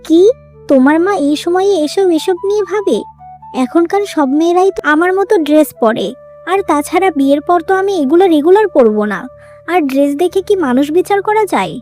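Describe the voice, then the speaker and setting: A woman's voice: very high-pitched, expressive, brisk, darkish tone, faint music behind. A woman voicing a blunt, assertive modern young girlfriend in a cartoon drama. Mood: indignant. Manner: assertive, questioning.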